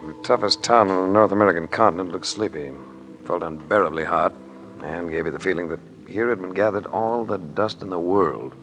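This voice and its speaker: A man's voice: low voice, brisk, a bit bright, faint music behind, rough recording. A man playing a cool, tough insurance investigator in a 1950s radio detective drama, dry, terse and hard-boiled. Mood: wry, narrating. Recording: old radio recording with clear noise.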